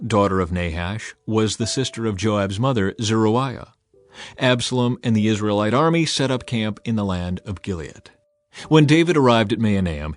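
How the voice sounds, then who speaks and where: A man reading scripture as a dramatized audiobook narration, measured and emphatic in his storytelling. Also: lowish voice. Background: music under the voice.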